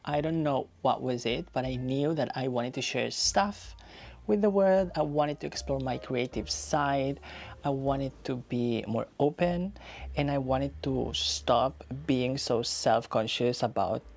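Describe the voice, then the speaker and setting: A man's voice: medium pitch, expressive, measured pace, creaky. A man in his thirties, raised in Spain, talking casually and reflectively to camera in a personal vlog, repeating phrases for emphasis. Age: 30s. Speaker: YouTuber.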